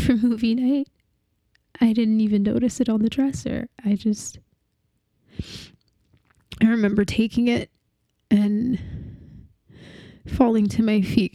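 A young woman playing a grieving partner in a dramatic monologue, with a halting, tearful delivery full of restarts. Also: fairly high pitch, mellow, no echo, close to an everyday voice.

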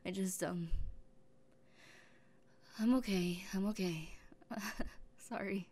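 A lovesick young woman who holds the one she loves captive, tender and possessive all at once, as a woman acts her out in an audio roleplay. She talks very slowly in a mellow, softened tone, halting between phrases and often sinking into a hushed whisper. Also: mid-range voice. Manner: soft-spoken, halting, drifting into whispers.

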